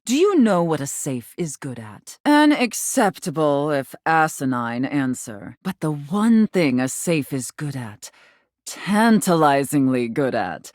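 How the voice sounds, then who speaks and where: A woman voicing a haughty, contemptuous mistress in a video game, imperious and condescending, with drawn-out, teasing menace. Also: rumbling low, a little mellow, no room sound, studio quality, heavily acted. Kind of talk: theatrical scripted game dialogue.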